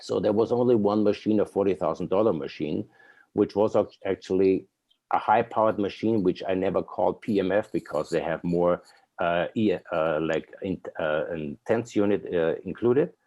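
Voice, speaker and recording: An Austrian man in his fifties tells his story as an interview guest. He speaks in an earnest, rambling way, with frequent fillers and an Austrian German accent. His voice is low, mellow and warm. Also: normal speed, lo-fi sound. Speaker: guest, company owner.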